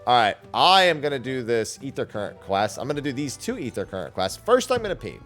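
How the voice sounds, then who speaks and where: Male streamer, informal and blunt, animated pitch, chatting through a game with faint music behind. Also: fairly quick. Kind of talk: casual playthrough commentary.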